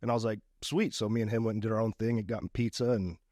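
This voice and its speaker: A man's voice: on the low side, level tone, quick pace, darkish tone, no room sound, studio quality. A middle-aged man with a slightly stuffy, congested voice from a cold, chatting casually as a podcast co-host and swearing bluntly. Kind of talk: casual chat and storytelling.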